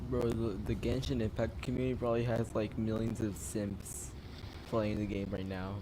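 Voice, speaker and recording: A woman's voice: a bass voice, flat delivery, moderate pace, a loud crowd, poor audio. A woman giving casual gameplay commentary with slangy, wry reactions. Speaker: gamer. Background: loud game music.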